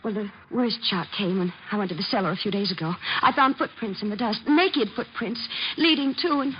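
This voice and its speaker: A woman's voice: mid-range voice, fairly quick, close to an everyday voice. A woman playing an earnest, frightened widow in an old-time radio drama, hesitant and stammering. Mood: anxious, fearful. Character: nervous, earnest wealthy widow, frightened client. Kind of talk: 1940s-50s radio acting. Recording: muffled, hissy old radio recording.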